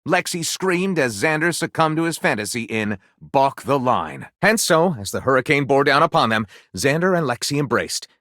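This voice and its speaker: A man's voice: mid-range voice, very animated, moderate pace, few pauses, fairly bright tone, dry recording, clean studio sound. A man voicing a grandiose, lewd, self-satisfied storyteller character in a video game, with wry, sarcastic asides. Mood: dramatic narrating.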